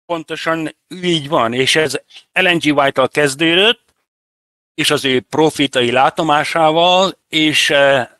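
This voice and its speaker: An older man, an interview guest, explaining things conversationally in measured, separate phrases. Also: a little high, bright, forceful tone, dry recording, clean studio sound. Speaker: interview guest, church representative.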